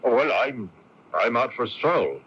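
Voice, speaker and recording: Husky, nervous Irish patriot. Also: male voice, mid-range voice, very animated, moderate pace. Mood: nervous, evasive. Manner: stammering, hesitant, nervous chuckles. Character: nervous, evasive captured Irish patriot knight. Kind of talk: radio drama acting. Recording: dull lo-fi radio broadcast tape.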